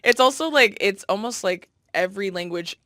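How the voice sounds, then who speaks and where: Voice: medium pitch, animated, normal speed, pushed and bright, no echo, studio quality. A podcast host interviewing a guest, playful and teasing, laughing often. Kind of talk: casual interview chat.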